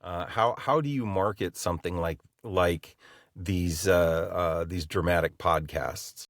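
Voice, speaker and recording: A man's voice: low-pitched, a little slow, dry recording, clean studio sound. A man co-hosting a podcast, asking rambling questions that restart as he goes. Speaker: podcast co-host. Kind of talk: casual interview questions.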